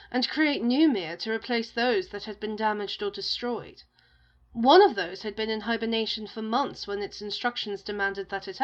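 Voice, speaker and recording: A woman's voice: fairly high pitch, dull audio. A woman narrating a scripted myth as a storyteller. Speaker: narrator of a tale within the story.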